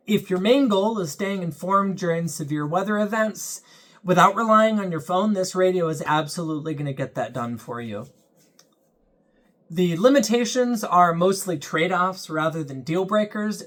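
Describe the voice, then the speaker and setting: Measured, unhurried product reviewer. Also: mid-range voice, a bit bright. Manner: measured and explanatory, pausing with ums.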